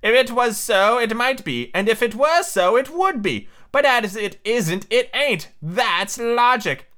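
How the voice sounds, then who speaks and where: A man's voice: very high voice, animated, a little slow, pushed and bright, pitched above the performer's own voice, heavily acted. A man voicing a pompous fat schoolboyish storybook twin, emphatic and contrary, drawing his words out. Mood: smug. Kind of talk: storybook character voice.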